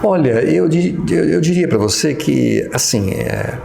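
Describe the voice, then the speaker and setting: A man's voice: a little high, animated, measured pace, gravelly, dark tone, roomy sound, a little hiss or hum. A middle-aged man with a Brazilian Portuguese accent, a pastor and professor recalling his past in an interview, talking hesitantly with many fillers and restarts. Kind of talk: reminiscing interview.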